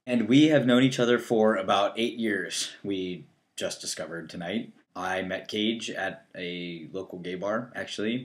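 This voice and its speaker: A young man in his early twenties talks plainly with a dry, wry touch. His voice sits low as he co-hosts a casual chat. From northeastern Ohio. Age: 20s. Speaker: co-host.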